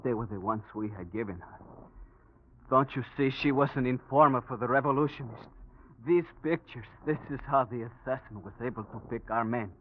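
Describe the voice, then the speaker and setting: An actor playing a grave, loyal wounded pilot, halting and earnest, in 1940s radio drama style. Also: male voice, medium pitch, close to an everyday voice. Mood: urgent. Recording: muffled, hissy old radio recording.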